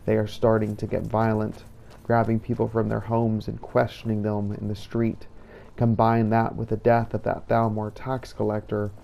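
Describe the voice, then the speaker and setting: पुरुष पाठक की भारी आवाज़ में चिंतित छोटी बहन की चिट्ठी. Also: male voice, on the low side, monotone, normal speed, creaky, dark tone, slight background noise. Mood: worried, reproachful. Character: worried younger sister writing a letter to her brother. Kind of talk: letter read aloud in fantasy roleplay.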